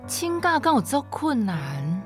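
A woman's voice: fairly high pitch, big pitch swings, unhurried, music in the background. A woman playing a nagging grandmother. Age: older. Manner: nagging, reproachful. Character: scolding elderly grandmother, stubborn and traditional. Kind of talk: amateur radio drama acting.

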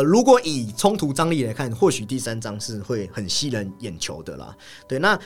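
A man co-hosting a podcast, casually discussing film in an analytical way and often repeating his agreement in quick strings. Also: mid-range voice. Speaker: podcast co-host. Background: background music.

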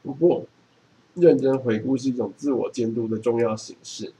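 A man's voice: medium pitch, moderate pace, mellow. A man, reading from a book and explaining it in a casual chat. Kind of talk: reading a book aloud and chatting about it.

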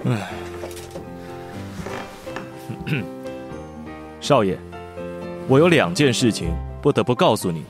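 Casting: male actor as devoted, lovesick young attendant in audio drama, mock-polite and exasperated, mid-pitched, very expressive intonation. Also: measured pace, music in the background. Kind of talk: Chinese audio drama acting.

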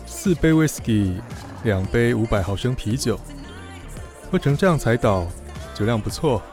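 A man's voice: medium pitch, expressive, moderate pace, a little mellow, soft background music, lightly acted. An actor in an audio drama playing a sober, patient man minding a drunk, terse and matter-of-fact.

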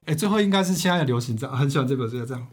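A man's voice: medium pitch, lively intonation, rapid-fire, breathy edge, faint music behind. A man with a Taiwanese Mandarin accent co-hosting a podcast, discussing a book in a conversational way. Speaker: podcast co-host. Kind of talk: conversational book discussion about personal finance.

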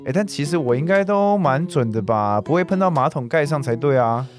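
A man's voice: fairly high pitch, lively intonation, brisk, soft background music, close to an everyday voice. A man playing an easygoing, playful young housemate. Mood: playful, unbothered. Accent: Taiwanese Mandarin. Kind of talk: radio drama acting.

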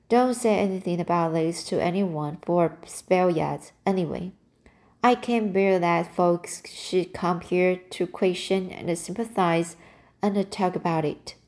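A woman reading the lines of a weary, bitter elderly woman in a slow, plain voice. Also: on the low side, a little mellow.

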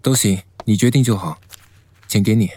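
Reserved, knowing young man for audio romance. Warm dark timbre, lower pitch, measured delivery. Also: husky. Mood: composed. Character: calm, reserved, knowledgeable young male senior schoolmate. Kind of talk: Mandarin audio romance drama acting.

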